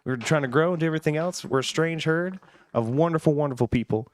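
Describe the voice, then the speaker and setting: A man's voice: on the high side, fast talker. A man hosting a casual podcast, chatting informally with plenty of slangy dudes. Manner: informal, slangy, says dude and man often. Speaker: podcast host.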